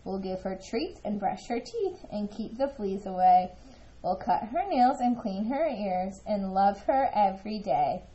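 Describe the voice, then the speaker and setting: A woman voicing an eager, stubborn little girl in a storybook, excitable and emphatic. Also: medium pitch, measured pace, muffled recording, close to an everyday voice. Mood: hopeful. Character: eager, hopeful, stubborn little girl who longs for a dog.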